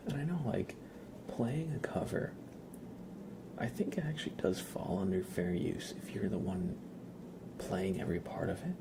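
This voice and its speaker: A soft-spoken man chatting casually on an ASMR livestream, drifting in and out of a whisper. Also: low-pitched, sing-song, normal speed, creaky, pauses often, background noise.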